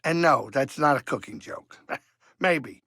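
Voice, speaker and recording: An actor playing a wisecracking older alien pilot and cook in a video game, grumbling and blustery but warm-hearted. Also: male voice, on the high side, takes it slowly, no room sound, clean studio sound. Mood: grumpy. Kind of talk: video game character acting.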